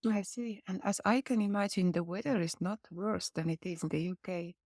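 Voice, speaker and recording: A woman's voice: medium pitch, darkish tone, no echo, clean studio sound. A woman host, upbeat and encouraging as she interviews over a video call. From Estonia. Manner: upbeat, quick to say "nice".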